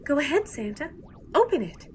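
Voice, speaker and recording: A woman's voice: high-pitched, very animated, moderate pace, a little mellow, slight background noise. A woman playing a kind, motherly but firm Martian wife in a comic audio drama. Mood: kindly.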